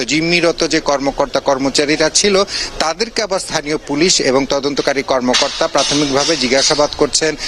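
A male field reporter with a Bangladeshi accent and a fairly high voice, reporting live. He explains at length and drops in English terms, with faint noise from the location behind him. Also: fairly bright tone. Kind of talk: live unscripted news report. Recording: noisy live location audio.

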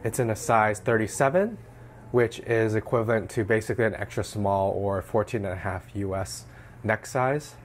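A young man with a slightly hoarse voice from a cold, relaxed and explanatory as he narrates an unboxing at home. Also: on the low side, normal speed, slight background noise. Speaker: fashion vlogger. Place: small room indoors at home.

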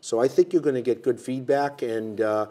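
A man's voice: medium pitch, moderate pace, dark tone. A man hosting a casual studio interview, chatty and full of restarts, on a lapel mic. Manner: chatty, restarts often, gestures with hands. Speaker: host. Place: TV studio with dark curtains.

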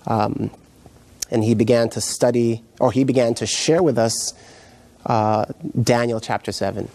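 A middle-aged man from Jamaica, raised in New York, giving his personal testimony as a guest pastor in a halting, reflective way. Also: mid-range voice, fairly even intonation, darkish tone. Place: indoor TV studio set, seated.

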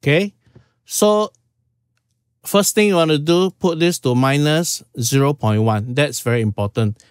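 A man's voice: fairly high pitch, a little slow, smooth, no room sound, studio quality. A man in his fifties from Singapore, walking through audio settings in a casual tutorial, peppered with "okay". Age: 50s. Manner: informal, step-by-step, frequent "okay"s. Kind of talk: casual screen-share tutorial. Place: small room at home.

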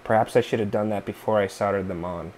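A man's voice: on the low side, fairly even intonation, fast talker, a little mellow, slight background noise. A man in his thirties talking casually through a hands-on repair tutorial at home, thinking aloud with fillers and little asides. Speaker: DIY video host. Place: indoor living room, seated at a workbench board.

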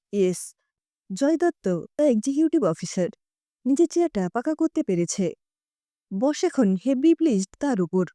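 A woman storyteller reads a scripted tale expressively. Her voice is high and mellow, with very lively intonation. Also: no room sound, clean studio sound.

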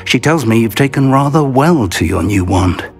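An actor playing an elderly, cautious and kindly British wizard professor, speaking in measured, formal phrases in a video game. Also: male voice, medium pitch, lively intonation, creaky, soft background music. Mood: cautious, encouraging. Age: older. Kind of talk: video game cutscene acting.

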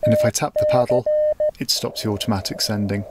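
A man's voice: fairly low pitch, fast talker, over loud music. A man reviewing a small radio at a tabletop, explaining each step methodically with frequent filler sounds. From United Kingdom. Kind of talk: hands-on product demonstration. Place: indoors at a tabletop.

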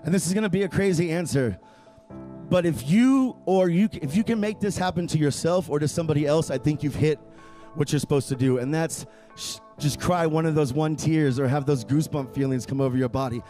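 A man's voice: on the high side, fairly quick. A man in his thirties talking casually about street music and live shows, holding a handheld mic in an outdoor courtyard. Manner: relaxed, conversational teaching. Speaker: rapper. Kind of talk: outdoor rap workshop talk and live rapping. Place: outdoor courtyard at a small event. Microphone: handheld microphone. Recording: loud hip hop beat behind. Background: hip hop beat.

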